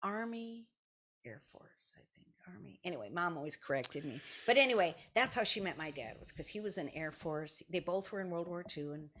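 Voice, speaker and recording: A woman in her sixties with an American accent and a low-pitched, slightly rough voice. She chats casually through her own stories, circling back, breaking off sentences and voicing what others said. She comes across as a guest in a relaxed conversation. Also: fairly quick. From South Dakota, United States. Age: 60s. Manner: rambling, self-interrupting, anecdotal with quoted dialogue. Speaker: podcast guest, retired kindergarten teacher.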